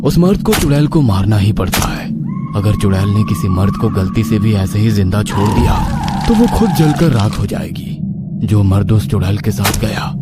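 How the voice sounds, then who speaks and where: A man's voice: fairly low pitch, sing-song, normal speed. A man narrating a scripted horror story in a dramatic, suspenseful manner. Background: background music.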